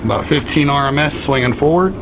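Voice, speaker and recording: A man's voice: a little high, fast. A man giving a casual, folksy product demonstration of radio gear, using trucker slang. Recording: muffled, loud noisy radio hiss.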